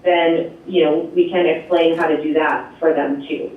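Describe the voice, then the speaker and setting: Loud, chatty woman on a tinny line. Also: sits low, fairly even intonation, normal speed. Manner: chatty, many fillers, light laughs. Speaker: program presenter at a municipal board meeting. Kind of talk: explaining and taking questions. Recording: echoey, distant, tinny phone-like line.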